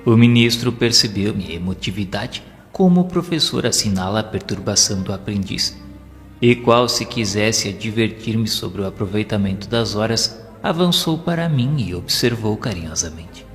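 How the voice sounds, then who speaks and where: A man plays a reverent spirit pupil recounting his memories in an audio novel. His male voice is fairly low, earnest and measured, with a Brazilian accent. It rings in a long hall reverb over faint music. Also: expressive, fairly bright tone. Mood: reverent, moved.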